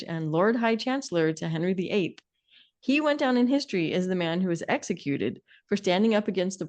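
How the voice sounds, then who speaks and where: A woman's voice: mid-range voice, a little mellow, lo-fi sound. A woman co-hosting a podcast in a casual discussion, speaking in a wry, colloquial way with informal emphasis. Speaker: podcast co-host.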